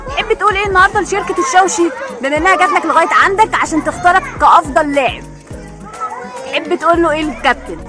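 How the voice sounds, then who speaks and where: A young Egyptian woman fires off short interview questions at breakneck speed in a fairly high voice. Her manner is brisk and coaxing. She is recorded outdoors, with music playing and a crowd chattering behind her. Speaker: reporter interviewing young players. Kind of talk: quick street interview. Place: outdoor football academy.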